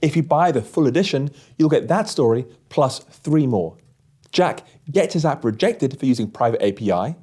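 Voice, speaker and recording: A man narrating a scripted story as an audiobook host, with measured, deliberate phrasing. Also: mid-range voice, expressive, mellow, slightly rough.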